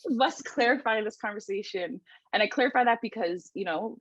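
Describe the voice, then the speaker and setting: Woman in her 30s, halting and thoughtful, dry close sound, muffled lo-fi audio. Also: fairly high pitch, fairly bright tone, no room sound. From Toronto, Canada. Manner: thoughtful, halting, many restarts and ums. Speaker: writer and researcher. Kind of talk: casual podcast conversation.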